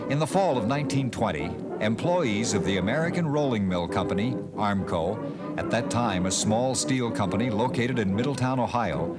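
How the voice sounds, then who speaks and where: A man giving scripted documentary narration in a measured, expressive storytelling manner. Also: fairly low pitch. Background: band music.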